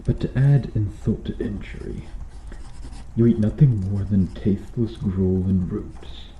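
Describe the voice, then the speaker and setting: A man voicing a cruel, bitter medieval knight in a slow-burn ASMR roleplay, speaking with brooding, deliberate menace. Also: on the low side, reverberant, highs cut off, a little hiss or hum, close to an everyday voice. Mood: bitter, frustrated. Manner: brooding, menacing, deliberate delivery with dropping hushed phrases.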